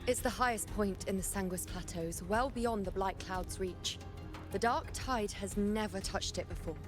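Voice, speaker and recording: A woman's voice: mid-range voice, moderate pace, natural voice. An actress playing a confident, playful and brash young warrior woman in a video game. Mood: grave. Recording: loud music behind.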